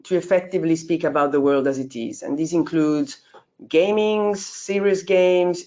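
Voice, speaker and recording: A woman in her fifties introduces her course in a relaxed, unscripted way. She often hesitates on fillers, and her pitch leaps up without warning. The recording sounds dull and lo-fi. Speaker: course leader. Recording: dull lo-fi audio.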